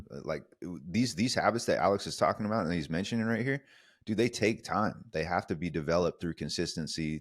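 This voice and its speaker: A man's voice: low voice, no echo, studio quality. A young man co-hosting a podcast, giving earnest coaching advice in a casual tone and calling listeners "dude". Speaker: podcast co-host.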